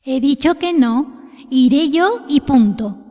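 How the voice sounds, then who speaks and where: Woman as a firm, high-voiced storybook mother. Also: expressive, takes it slowly, warm tone. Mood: firm, slightly annoyed. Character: mother of a tiny boy, firm but caring. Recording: long reverberant echo.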